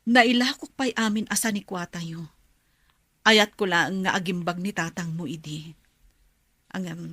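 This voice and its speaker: An actress playing a worried, loving mother with a husky voice in an Ilocano radio drama. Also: lowish voice, a bit bright, dry recording. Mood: wistful. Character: worried, loving mother leaving to work abroad.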